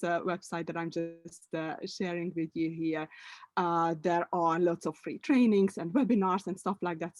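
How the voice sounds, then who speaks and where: A woman's voice: on the low side, a little mellow, no echo. A middle-aged woman from Hungary, a native Hungarian speaker, giving an online webinar presentation as a language coach, hesitant, with many fillers and restarts.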